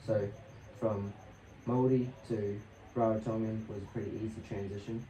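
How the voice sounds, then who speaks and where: Young male podcast guest, casual slangy storyteller, mellow tone, echoey room with faint noise. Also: fairly low pitch, flat delivery, moderate pace. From New Zealand and Australia. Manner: laid-back, slangy, swearing. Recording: roomy echo, distant mic, faint noise.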